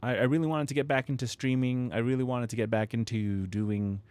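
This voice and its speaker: A man's voice: medium pitch, fairly even intonation, brisk, darkish tone, clean tone. A man hosting a live stream from his home setup, chatting casually to viewers with lots of restarts and fillers, close to his microphone. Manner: rambling, many restarts and fillers, chuckles often. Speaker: livestream host building model kits. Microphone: close studio microphone with proximity effect.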